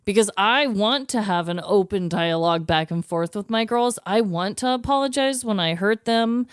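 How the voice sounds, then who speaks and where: A woman's voice: medium pitch, normal speed, clean tone, dry recording, clean studio sound. A woman co-hosting a podcast, talking casually and candidly in an earnest, empathetic, emphatic way.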